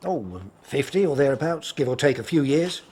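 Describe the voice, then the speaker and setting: An actor playing a deferential, helpful working-class English hotel porter in an audio drama, speaking in a homely, colloquial way. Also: male voice, mid-range voice, big pitch swings, brisk, a little mellow, slightly rough. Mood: obliging.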